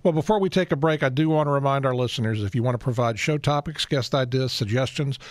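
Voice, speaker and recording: A man hosting a radio talk show, relaxed, giving short acknowledgments and reading plugs. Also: mid-range voice, quick pace, no room sound.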